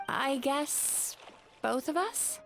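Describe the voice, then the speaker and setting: High, expressive plucky girl. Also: extremely slow, gravelly, soft background music, exaggerated voice. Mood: doubtful. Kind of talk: English video game voice acting.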